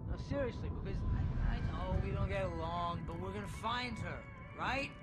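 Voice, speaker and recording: An actor playing a loud, loyal, clownish and tipsy best friend in a video game cutscene, rambling emphatically. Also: male voice, high voice, moderate pace, heavy background noise, lightly acted. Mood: earnest, consoling.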